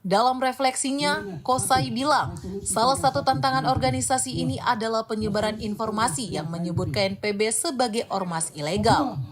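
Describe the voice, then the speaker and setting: A woman's voice: a little high, moderate pace, crowd noise. A woman reading a scripted news narration as the reporter.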